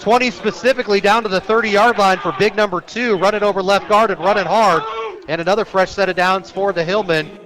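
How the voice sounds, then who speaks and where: A man working as a radio sportscaster, calling high school football live in clipped, descriptive phrases that turn excited on big plays. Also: fairly quick. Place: outdoor stadium, live radio broadcast.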